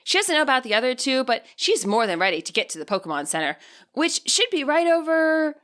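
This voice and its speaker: A woman reading scripted fiction aloud as an expressive audiobook narrator. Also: high-pitched, brisk.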